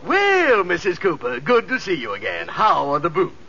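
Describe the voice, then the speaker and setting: An actor playing a smug, stubborn, excitable store salesman who gloats, in a 1940s radio sitcom. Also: high-pitched, normal speed, heavily acted. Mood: gloating. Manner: animated, gloating. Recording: slightly noisy, muffled old radio recording.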